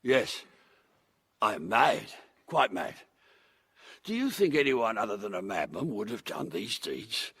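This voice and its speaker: Man playing a slow, gravelly, menacing madman. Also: fairly low pitch, sing-song, a bit bright, no room sound, full character voice. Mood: defiant, crazed. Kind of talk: period audio drama acting.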